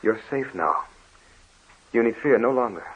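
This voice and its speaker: An actor playing a kindly, lonely Dutch man who speaks gently and earnestly in an old-time radio drama. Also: medium pitch, expressive, unhurried, breathy edge. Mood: tender. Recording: dull lo-fi old radio recording with faint hiss.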